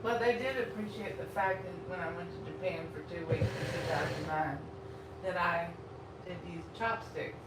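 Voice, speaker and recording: A woman's voice: on the low side, fairly even intonation, moderate pace. A woman from Texas with a Texan accent, chatting frankly and rambling while she cooks and eats during a live stream from her home kitchen. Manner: rambling and frank, talks while eating and cooking. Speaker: food vlogger. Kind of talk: casual live-stream chat while cooking. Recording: roomy, distant from the mic, noisy.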